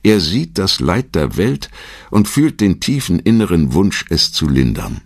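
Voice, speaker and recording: A man with a very low, deep voice reads documentary narration. His delivery is measured and articulate, as in a scripted biography. The sound is dry and close, with no room on it. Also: very animated, breathy edge, no echo.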